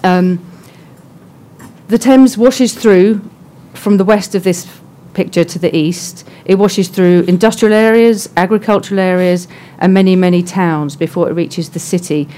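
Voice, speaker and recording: A soft-spoken woman giving a measured conference presentation with frequent pauses. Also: on the low side, fairly even intonation. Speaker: conference speaker. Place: conference hall.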